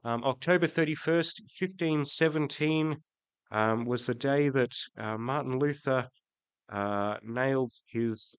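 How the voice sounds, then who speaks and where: Croaky man preaching a sermon. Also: mid-range voice, measured pace, no room sound. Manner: hesitant, many ums and restarts. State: croaky from a cold. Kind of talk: sermon with prayer. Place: church.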